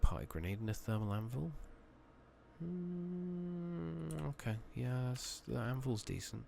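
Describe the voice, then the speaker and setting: A soft-spoken man giving hushed ASMR commentary on a game playthrough, murmuring and musing aloud in an unhurried way. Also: mid-range voice, animated, vocal fry, slight background noise. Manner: hushed, murmured, sometimes slipping into whisper. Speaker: gaming channel host.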